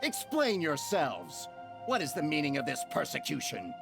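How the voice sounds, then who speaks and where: A man's voice: on the high side, wildly swooping pitch, moderate pace, vocal fry, full character voice. A man voicing a pompous, aggrieved merchant villain in a video game, blustering and accusatory. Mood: outraged, accusing. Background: music.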